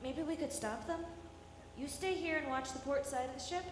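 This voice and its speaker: A woman playing a brisk, bossy spacewalker in a live radio drama, clipped and commanding. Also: high voice, lightly acted. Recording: echoey hall, long reverb, faint noise.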